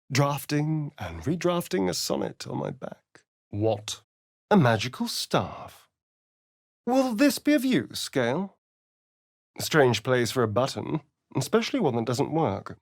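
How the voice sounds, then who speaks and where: A man's voice: mid-range voice, dry recording, studio quality, exaggerated voice. An actor playing a sly, vain male vampire rogue in a video game, with an arch, sardonic, theatrical drawl. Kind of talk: video game character voice lines.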